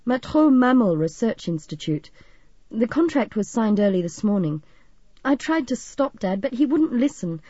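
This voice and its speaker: A woman's voice: a little high, big pitch swings, moderate pace, warm tone, husky, no echo, highs cut off, pitched above the performer's own voice. A woman voicing a worried, polite young man in an audiobook, with a hesitant, earnest manner. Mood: anxious, surprised.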